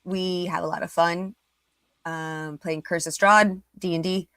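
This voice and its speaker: A woman's voice: lowish voice, steady tone, measured pace, fairly bright tone, clean tone, no echo. Woman with a dry, close-up sound, chatting. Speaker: regular co-host, streamer. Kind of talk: casual livestream chat.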